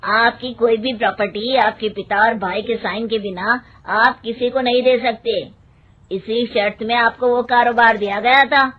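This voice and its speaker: A performer voicing a polite, matter-of-fact male lawyer in an animated moral story, formal and explanatory. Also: medium pitch, measured pace, natural voice. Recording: thin phone-like sound, faint noise.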